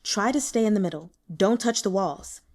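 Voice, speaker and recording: A woman voicing a shrewd, dry, sickly male investigator in an audiobook, explaining things in a measured, matter-of-fact way. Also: mid-range voice, expressive, darkish tone. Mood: cautioning, matter-of-fact. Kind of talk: audiobook character dialogue.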